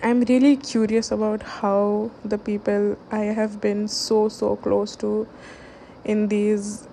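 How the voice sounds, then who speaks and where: A young woman vlogging late at night at home, speaking in a hushed voice and rambling with many fillers and restarts. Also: medium pitch, level tone, slow, vocal fry, a little hiss or hum. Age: young adult. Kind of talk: late-night casual chat.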